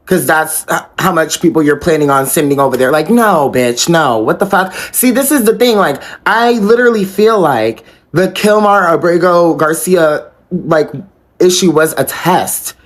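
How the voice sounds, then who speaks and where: American accent, mid-pitched voice, exasperated, incredulous, sweary, casual political rant. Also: lively intonation, normal speed. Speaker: political commentator on social media. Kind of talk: casual social media rant.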